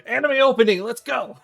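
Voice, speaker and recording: A man giving casual commentary on a visual novel playthrough, explaining things in a rambling, halting way. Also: very high voice, vocal fry, a bit bright. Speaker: game streamer. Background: game music.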